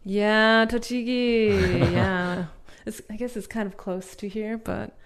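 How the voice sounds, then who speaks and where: A woman's voice: medium pitch, fairly even intonation, takes it slowly. A young American woman from North Carolina in her twenties, chatting as a guest on a podcast, upbeat and full of "yeah" and "like". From North Carolina, USA. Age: 20s. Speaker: guest, English teacher. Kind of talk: casual interview chat. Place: podcast studio.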